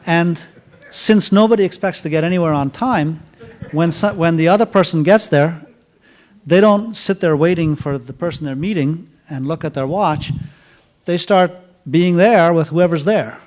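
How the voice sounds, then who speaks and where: A middle-aged man telling a story casually at a university event, halting with many fillers and restarts. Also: fairly high pitch. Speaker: author speaking at a university event.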